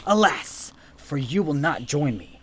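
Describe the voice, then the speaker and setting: A man's voice: a little high, lively intonation, unhurried. A man acting a crazed young would-be usurper in a comedic audio drama, with theatrical, mock-archaic speech. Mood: grand defiance.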